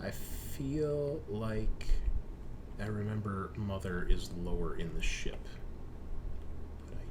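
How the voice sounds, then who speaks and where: A man running a tabletop roleplaying game as game master, narrating in a halting way as he thinks aloud. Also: on the low side, lively intonation. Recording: clearly noisy background hiss.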